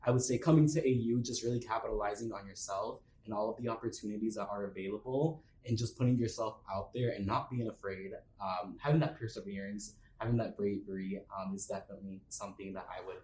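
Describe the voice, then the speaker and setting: A man's voice: fairly low pitch, fast, few pauses, darkish tone. A young male university student giving upbeat, encouraging advice in a panel discussion. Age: young adult. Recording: roomy room, faint noise.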